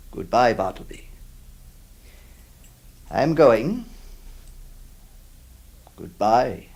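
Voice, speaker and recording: An actor playing a troubled, kindly lawyer who narrates and stammers with many hesitations. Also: male voice, lowish voice, darkish tone, breathy edge, exaggerated voice. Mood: resigned. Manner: hesitant, stammering, full of uh's. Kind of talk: old radio drama acting.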